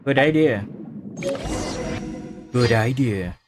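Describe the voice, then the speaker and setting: A man's voice: medium pitch, animated, extremely slow. A man reacting casually as he streams a video game, in short off-the-cuff remarks. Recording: game music behind the voice.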